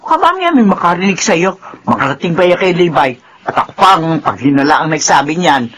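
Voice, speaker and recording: A woman playing a cautious older village mother in a radio drama, fretful and hushing others. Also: fairly low pitch, expressive, brisk, a little hiss or hum. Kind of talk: Filipino radio drama acting.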